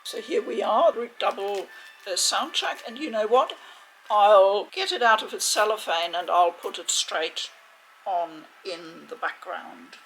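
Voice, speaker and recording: Creaky-voiced woman chatting through an unboxing. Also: mid-range voice, unhurried, fairly bright tone, rough recording. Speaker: video game unboxing host. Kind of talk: casual unboxing commentary. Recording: faint background noise.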